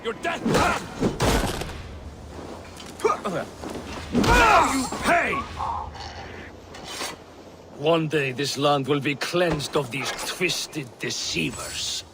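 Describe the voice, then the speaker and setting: Vengeful hunter, slow and highly animated. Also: male voice, exaggerated voice. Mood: furious. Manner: intense, dramatic. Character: vengeful, superstitious male hunter, grim and fanatical. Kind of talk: video game character acting. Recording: clear background noise and ambience.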